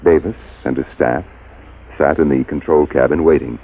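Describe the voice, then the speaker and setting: A man's voice: very deep voice, moderate pace, creaky, husky. A man giving scripted narration for a radio drama. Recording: muffled, narrow phone-like audio. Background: music.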